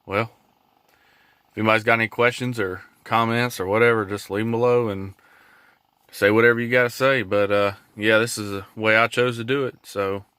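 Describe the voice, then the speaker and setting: A man giving a casual, unscripted how-to vlog in a laid-back, folksy, halting way. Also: lowish voice, fairly even intonation, pushed and bright, smooth, no room sound. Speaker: hobbyist vlogger showing his truck radio install. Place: in and around a pickup truck in a driveway.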